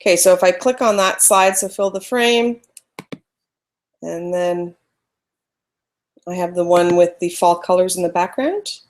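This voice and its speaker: A woman's voice: fairly low pitch, measured pace, long pauses. Chatty woman presenting a live webinar. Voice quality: loud voice. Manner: chatty, apologetic, talks through screen-sharing trouble. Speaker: webinar presenter teaching photography. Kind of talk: casual live webinar teaching.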